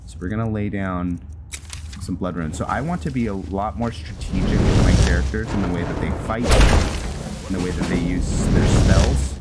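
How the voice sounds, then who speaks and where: A man giving casual roleplay game commentary, halting as he thinks aloud. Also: sits low. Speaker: gameplay host and roleplayer. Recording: loud music behind the voice.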